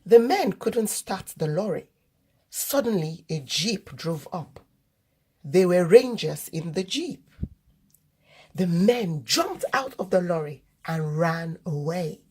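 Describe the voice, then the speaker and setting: A woman reading a children's story aloud as host, in deliberate, expressive narration. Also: on the low side, slightly rough.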